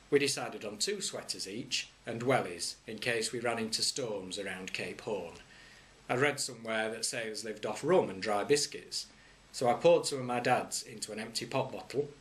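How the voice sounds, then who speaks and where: A man, a head teacher, reading a children's story aloud with wry humour and lively swings in pitch. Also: slight background noise.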